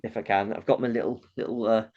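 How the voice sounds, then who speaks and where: A man's voice: lowish voice, steady tone, quick pace, highs cut off. Nasal-voiced man, chatty comedian. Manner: chatty, laughs often, acts out audience reactions. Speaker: comedian guest. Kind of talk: casual interview chat.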